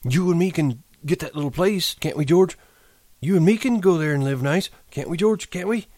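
A man's voice: a little high, lively intonation, normal speed, a little mellow, slightly rough, no room sound, pitched above the performer's own voice. A man voicing a grieving, bitter old ranch hand with rustic American speech, in an audiobook reading. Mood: pleading, sulky.

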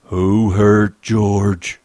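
Man acting out a big childlike man, higher-pitched, slow-witted. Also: expressive, darkish tone, no echo. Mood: angry, menacing. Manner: simple, stubbornly repetitive, ungrammatical rural speech.

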